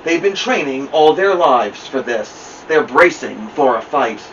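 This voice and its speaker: Guy doing kids storytime, expressive slow reading, kinda far from the mic w some hiss. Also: mid-range voice. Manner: deliberate, dramatic storytelling. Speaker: storytime host. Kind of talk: expressive children's picture-book reading. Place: indoor room at home, seated by a plant. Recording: muffled, noisy, distant camera mic.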